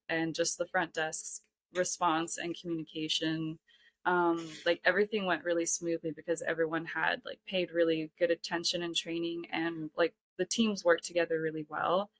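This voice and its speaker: A woman's voice: lowish voice, creaky, no room sound. A young woman, a podcast guest, talking earnestly in a casual chat, filling her speech with "like" and "um". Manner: earnest, heavy use of "like" and "um". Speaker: podcast guest, ski lodge worker.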